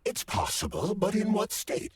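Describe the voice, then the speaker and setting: A man's voice: sits high, wildly swooping pitch, normal speed, slightly rough, heavily acted. A man playing a sly scientist turned into a talking blob, theatrical and wry, in a video game cutscene. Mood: sly, measured. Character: wry, scheming male scientist turned into polymer goo.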